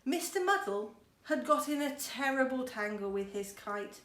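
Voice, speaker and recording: Casting: female teacher for storytime, higher-pitched, expressive, chatty asides, roomy recording with faint noise. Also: moderate pace. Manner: expressive, encouraging, speaking to children. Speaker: schoolteacher reading storytime. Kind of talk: children's storybook read-aloud with chatty asides.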